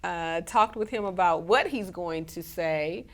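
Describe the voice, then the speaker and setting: A woman with a cold and a scratchy voice, chatting as a guest on a live community TV show, halting now and then with fillers. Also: lowish voice. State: hoarse from a cold. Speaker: guest, community organization president. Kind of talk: casual live TV interview.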